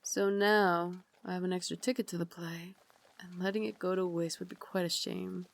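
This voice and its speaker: A woman playing an elegant, caring and gently commanding Victorian vampire lady in an ASMR roleplay, speaking softly, formally and with doting refinement. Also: on the low side, little pitch movement, moderate pace, creaky. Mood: gracious, inviting. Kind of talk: ASMR roleplay, slow intimate scripted acting.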